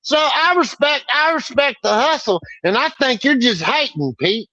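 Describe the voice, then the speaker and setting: Cocky, cursing male co-host with a high voice on a dull recording. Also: lively intonation, normal speed, sharp tone, no echo. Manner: brash, profane, boastful. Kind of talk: casual podcast banter.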